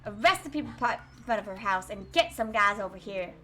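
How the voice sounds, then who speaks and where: A woman's voice: medium pitch, expressive, brisk, bright, forceful tone, soft background music. A woman playing a barking police chief. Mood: alarmed. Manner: barking urgent commands. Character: stern male police chief giving orders. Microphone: webcam and desk mic.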